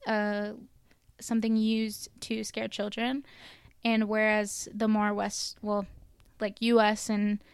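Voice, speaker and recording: A woman's voice: medium pitch, completely flat delivery, takes it slowly, clean tone, no room sound, clean studio sound. Casual podcast co-host, woman, from Canada, loose rambling storyteller. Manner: chatty, lots of likes and ums, laughs at own stumbles. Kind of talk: casual chat, telling legends.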